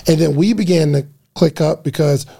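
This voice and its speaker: A man from New Jersey with a New Jersey accent, telling stories as a podcast guest in a relaxed way with many restarts. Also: a little high, normal speed, vocal fry, breathy edge. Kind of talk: casual podcast conversation.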